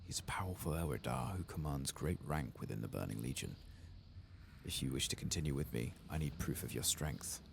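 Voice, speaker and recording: Man softly reading as a very deep solemn demon hunter. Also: lively intonation, brisk, creaky, a little hiss or hum, full character voice. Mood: solemn, vengeful. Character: solemn, commanding fantasy demon hunter reading quest dialogue. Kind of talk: soft-spoken dramatic reading of game text.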